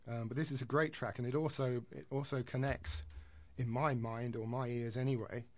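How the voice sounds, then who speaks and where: A man who is a guest on a radio show introduces his track picks, chatting and thinking aloud as he goes. His voice has an ordinary mid pitch. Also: steady tone, normal speed. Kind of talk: casual radio chat.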